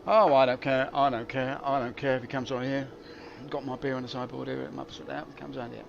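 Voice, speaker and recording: A man's voice: medium pitch, level tone, a little fast, creaky, dull audio, background noise. A man streaming live gameplay, grumbling wryly and poking fun at himself as he plays. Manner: grumbling, wry and self-mocking. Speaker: game streamer. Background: game music.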